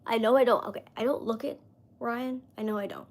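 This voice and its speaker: A woman's voice: fairly high pitch, steady tone, fairly quick. A woman in her mid-thirties chatting casually on a live stream, rambling with lots of fillers as she talks to viewers. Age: 30s.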